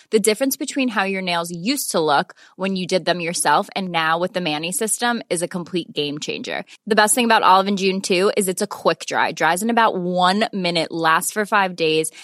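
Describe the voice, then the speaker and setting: A woman's voice: medium pitch, a bit bright, dry recording, studio quality. A woman giving a chatty, emphatic personal endorsement in a podcast sponsor read. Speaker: podcast co-host reading sponsor ad.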